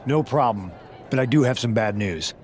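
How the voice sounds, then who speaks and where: A man's voice: medium pitch, expressive, moderate pace, a little mellow, slight background noise, natural voice. A man playing a serious, pragmatic wrestler in a video game cutscene. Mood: concerned, matter-of-fact. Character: serious, pragmatic male wrestler delivering team updates.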